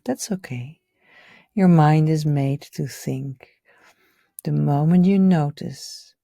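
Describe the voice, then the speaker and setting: A woman leading a guided meditation in a gentle, soothing, unhurried way, her soft voice often trailing off toward a whisper. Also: low-pitched, no echo, studio quality. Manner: soothing, gentle, unhurried, with audible sighs and breathy pauses. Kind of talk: guided meditation, live session.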